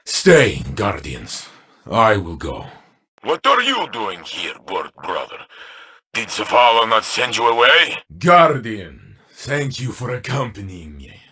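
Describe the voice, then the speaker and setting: Gruff, valiant warrior. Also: male voice, lowish voice, wildly swooping pitch, takes it slowly, pushed and bright, full character voice. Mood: grave, concerned. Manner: formal, declamatory. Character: valiant, earnest armored warrior man, fiercely loyal. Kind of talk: video game voice acting.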